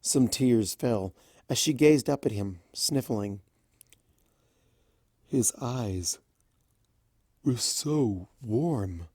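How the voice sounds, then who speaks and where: A story narrator with a mellow, dark tone and a lively, expressive delivery. The reading is scripted fiction, told at an unhurried pace in a dry, close recording. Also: medium pitch, husky, no room sound. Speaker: fan fiction reader.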